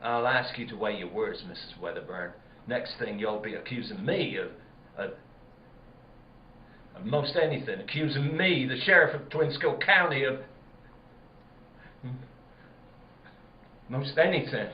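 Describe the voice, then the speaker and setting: An actor playing a guilty, blustering country sheriff in an old-time radio drama, with halting, repetitive, folksy speech. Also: male voice, medium pitch, a little hiss or hum, lightly acted. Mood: indignant. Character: guilty, blustering rural sheriff, male, increasingly panicked. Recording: dull, roomy stage recording.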